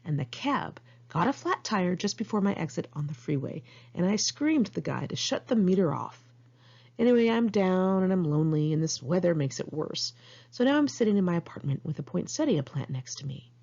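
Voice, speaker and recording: A woman's voice: fairly low pitch, sing-song, brisk, darkish tone, slightly rough, highs cut off. An American woman reading her own memoir aloud in a candid, conversational, sardonic way. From United States, Los Angeles area. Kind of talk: first-person memoir narration, read aloud in one take.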